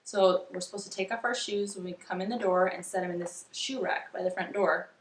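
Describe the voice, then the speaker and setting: A woman in her thirties with an average-pitched voice talks in a casual, chatty way, like a mother on a family vlog. Her delivery is loose and giggly. The room adds a noticeable echo. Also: steady tone, a little fast, slight background noise. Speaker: vlogger mother. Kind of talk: casual couple Q&A chat. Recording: roomy indoor echo.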